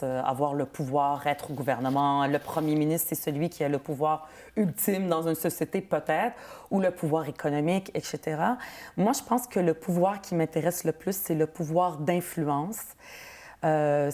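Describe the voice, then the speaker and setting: Woman, Quebec French accent, Arabic native speaker, earnest, laughs easily, lowish voice. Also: a little mellow. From Palestine. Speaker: politician, guest. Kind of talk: interview answers.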